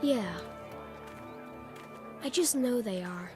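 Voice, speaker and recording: An actress voicing an earnest, polite, kind young boy hero in an animated fantasy game. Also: on the high side, slow pace, music in the background. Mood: warm, grateful.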